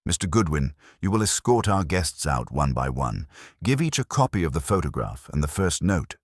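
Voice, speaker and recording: A man's voice: extremely low pitch, very animated, normal speed, slightly rough, no room sound, clean studio sound, full character voice. A man voicing an imperious, pedantic master detective with pompous, formal and precise speech in an audiobook. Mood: stern, commanding.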